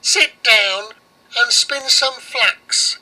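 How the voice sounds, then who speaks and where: Man putting on a high, husky old storybook witch. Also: very slow, sharp tone, full character voice, low-quality recording. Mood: commanding. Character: old witch Baba Yaga, commanding female crone. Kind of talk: storybook character voice.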